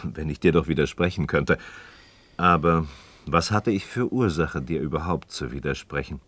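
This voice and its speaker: An actor playing a thoughtful, hesitant partner in a philosophical radio dialogue, measured and questioning, with formal stage diction. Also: male voice, rumbling low, a bit bright. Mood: reluctant agreement. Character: thoughtful, hesitant male interlocutor, conceding yet doubting.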